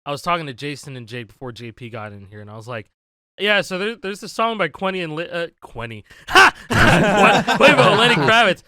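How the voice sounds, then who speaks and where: Young male podcast co-host, bright tone, dry close mic, relaxed talker. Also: on the high side, dry recording. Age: 20s. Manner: laid-back, swears casually, quick laughs. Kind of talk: casual chat.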